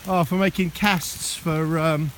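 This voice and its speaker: A man's voice: on the high side, fairly even intonation, slow, a bit bright. A man from Sheffield, chatting jokily as a vlogger while walking through rainy woodland. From Sheffield, England. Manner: jokey, chatty, laughs often. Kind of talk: casual outdoor vlog chat. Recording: rain noise in the background.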